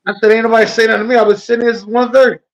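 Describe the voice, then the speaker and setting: A man's voice: extremely high pitch, flat delivery, racing. An American man hosting a casual podcast interview, speaking in slangy, laid-back phrases and often repeating himself. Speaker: podcast host interviewing a guest.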